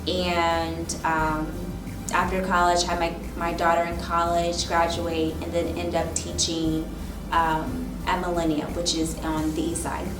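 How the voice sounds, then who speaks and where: A woman in her thirties, a teacher, reflecting thoughtfully in an oral history interview, often pausing with "um" and "you know". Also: lowish voice. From Michigan, raised in Ohio. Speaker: teacher and instructional coach. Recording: roomy, distant mic with music behind.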